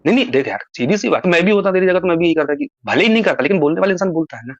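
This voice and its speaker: Fast-talking man lecturing on a dull, dry phone call recording. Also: high-pitched, lively intonation, no room sound. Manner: rapid, pleading, lecturing. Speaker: caller.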